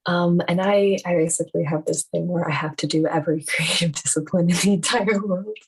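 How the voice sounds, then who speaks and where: A woman's voice: fairly low pitch, steady tone, a little fast, breathy edge. A young woman in her teens chattily rambling through a casual introduction of herself and her creative work. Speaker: student actor and filmmaker.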